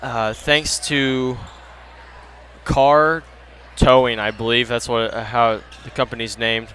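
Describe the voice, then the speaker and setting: Bright-voiced, chatty Midwestern man announcing. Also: mid-range voice, fairly even intonation, slow pace, a little hiss or hum. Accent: Midwestern American. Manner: rambling, chatty, thanking sponsors. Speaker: sports webcast announcer. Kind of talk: casual pregame commentary. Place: high school gym.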